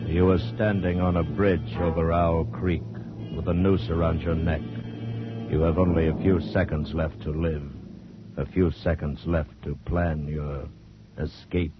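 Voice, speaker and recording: An actor playing an ominous narrator of doom in a 1940s radio suspense teaser, solemn and measured. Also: male voice, low voice, flat delivery. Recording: dull vintage radio recording with music.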